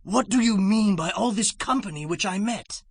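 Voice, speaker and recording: Gravelly, husky biblical chieftain. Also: male voice, high-pitched, moderate pace, no room sound, studio quality, full character voice. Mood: curious. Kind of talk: dramatized scripture reading.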